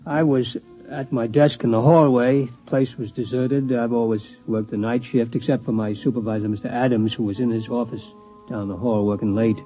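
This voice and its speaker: An actor playing a timid, folksy old security guard, rambling and hesitant, in 1950s radio drama style. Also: male voice, mid-range voice, fairly even intonation, moderate pace, natural voice. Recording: muffled vintage radio recording, faint music.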